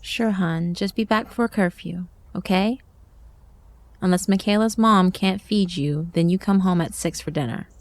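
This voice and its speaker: An actress playing an anxious, caring mother in an audio horror drama, gentle and hesitant. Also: fairly low pitch, unhurried.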